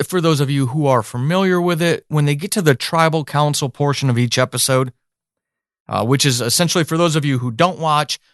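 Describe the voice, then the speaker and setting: A man with an American accent hosting a solo podcast, talking conversationally in an emphatic, explanatory way with deliberate drawn-out stresses. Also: medium pitch, no echo, studio quality. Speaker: podcast host; writer and leadership teacher.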